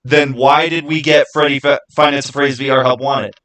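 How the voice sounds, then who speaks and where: A man's voice: medium pitch, steady tone, a bit bright. Man chatting casually on a livestream. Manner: halting, rhetorical questions to viewers. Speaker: livestream host.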